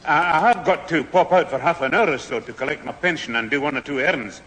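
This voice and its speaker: A lively, rather high old Scottish grandfather, bright and sharp in tone. He scolds and grumbles in an old radio sitcom, with faint hiss on the recording. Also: quick pace. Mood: dry. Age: older. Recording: hissy old radio recording, slight hall.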